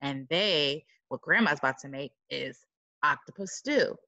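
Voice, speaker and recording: A woman reading a picture book aloud to children like a teacher, dramatic and expressive, with exclaiming asides. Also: sits low, a little slow, lo-fi sound.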